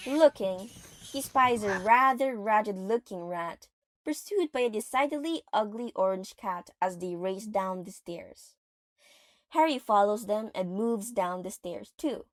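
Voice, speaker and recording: A woman's voice: mid-range voice, animated, unhurried, no room sound. A woman reading scripted, dramatic third-person narration for an audio play.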